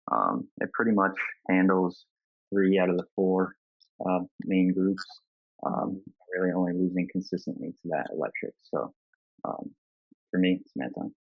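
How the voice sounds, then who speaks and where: A man from New York State with a deep voice explains his views casually as a panel guest. The recording is dry and slightly muffled, like an online call. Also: normal speed, no echo. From New York State, United States. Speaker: tournament player, panel guest. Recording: dull, lo-fi voice call.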